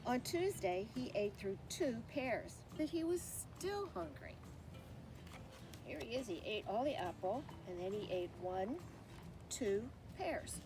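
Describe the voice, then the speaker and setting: Animated woman reading to young children. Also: fairly high pitch, slow pace, noisy background. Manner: simple, expressive phrasing with questions to young listeners. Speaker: naturalist reading a children's story. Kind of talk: children's story time read-aloud with show-and-tell asides.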